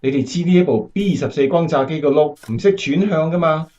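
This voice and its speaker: A man acting a steady, earnest American wartime bomber captain in a radio drama. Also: fairly high pitch, fast, highs cut off, natural voice. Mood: modest, eager.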